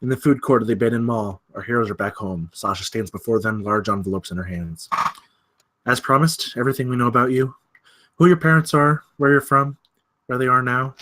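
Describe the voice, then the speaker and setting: A man's voice: medium pitch, brisk, sharp tone, rough recording. A man narrating scripted stage directions at a film table read.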